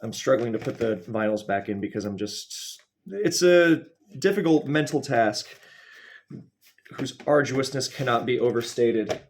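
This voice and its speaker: Guy rambling to camera, average pitch, mock serious and wry, kinda halting, goes off on tangents. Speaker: video host. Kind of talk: casual, rambling comedic monologue to camera.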